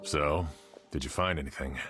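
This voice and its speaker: A man's voice: a bass voice, normal speed, a bit bright, soft background music. An actor playing an earnest, courteous male official in a video game, speaking politely and seriously.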